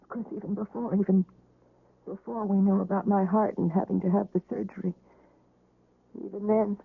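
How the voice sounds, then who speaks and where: An actress playing a weary, despairing wife recovering from surgery, mournful and yearning, in a radio drama. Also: female voice, medium pitch, level tone, moderate pace, gravelly, husky, phone-quality sound. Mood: anguished, pleading. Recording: roomy, band-limited old radio recording.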